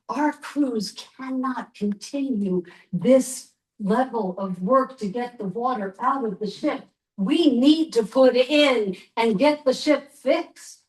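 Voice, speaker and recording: A woman storyteller acting out a 19th-century Norwegian sea captain's wife, wistful and in love with the sea. She recounts her life in the first person, slowly and dramatically, with deliberate pauses. The voice is picked up from some way off. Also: mid-range voice, far from the mic, close to an everyday voice, rough recording. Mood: grave, dramatic.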